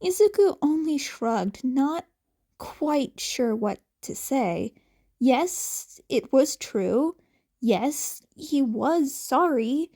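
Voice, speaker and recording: High-voiced woman narrating a story. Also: expressive, extremely slow, dark tone. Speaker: fan fiction narrator. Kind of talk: expressive scripted story narration.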